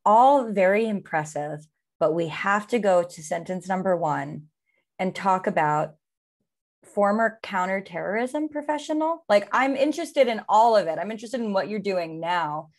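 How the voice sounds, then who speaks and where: A woman's voice: medium pitch, expressive, normal speed. Woman, podcast host, enthusiastic interviewer, conversational. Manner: eager, enthusiastic questioning.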